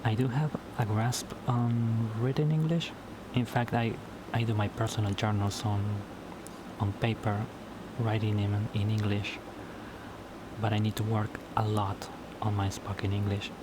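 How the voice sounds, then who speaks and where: A man whose first language is Spanish, talking casually to camera in a solo vlog at home late at night, halting and often repeating words as he restarts his sentences. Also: lowish voice, flat delivery, background noise. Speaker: YouTuber.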